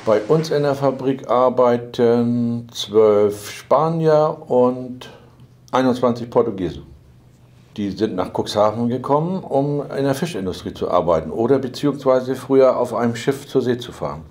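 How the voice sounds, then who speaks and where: A middle-aged man, a company manager, calmly explaining history in an office interview. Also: medium pitch. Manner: measured, matter-of-fact, occasional fillers. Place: office with file binders.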